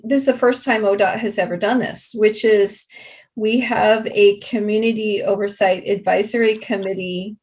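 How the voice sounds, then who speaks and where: A woman giving explanatory interview answers as a project representative, deliberate and halting, leaning on key words. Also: medium pitch, darkish tone.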